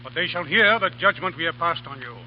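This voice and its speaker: A stern, pompous governor, played by an actor, cold and threatening. His voice is high for a man. The sound is dull and old, with faint hum. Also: lively intonation, fast talker, close to an everyday voice. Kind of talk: 1930s radio drama acting. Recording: dull old radio broadcast recording.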